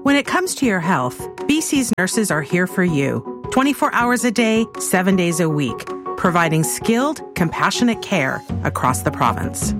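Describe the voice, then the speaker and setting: A woman reads a scripted radio message in a calm, measured voice. Her pitch moves expressively, and music plays clearly behind her. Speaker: union president in radio ad.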